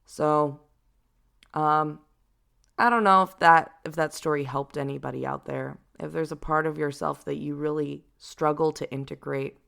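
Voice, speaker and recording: A young adult woman with a deep voice, telling personal stories as a podcast host in a candid, self-deprecating, chatty way. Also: fairly even intonation, moderate pace, creaky, fairly bright tone. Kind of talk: personal storytelling monologue.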